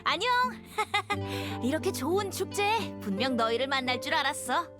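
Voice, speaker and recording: A woman voicing a carefree, flattering young bard boy in an anime game, breezy and playful. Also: high-pitched, normal speed, over music. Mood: delighted. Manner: breezy, sweet-talking. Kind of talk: Korean anime game voice acting.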